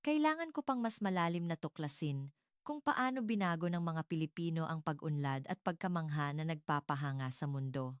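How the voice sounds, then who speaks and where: A woman reading a scripted dramatic narration in a measured, earnest storytelling manner. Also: deep voice, animated, clear, resonant tone, no room sound, studio quality.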